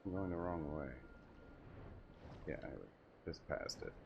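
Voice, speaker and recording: A man's voice: deep voice, a little slow, creaky, muffled recording, noisy background. A man giving casual live commentary as he plays a game on stream. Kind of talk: casual live gameplay commentary, reading dialogue aloud. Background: faint music.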